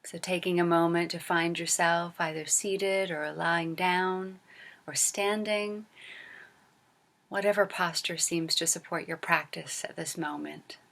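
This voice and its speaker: A woman leading a guided body scan meditation as a teacher, speaking gently and unhurriedly with long pauses and soft, breathy phrase endings. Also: fairly low pitch, fairly even intonation.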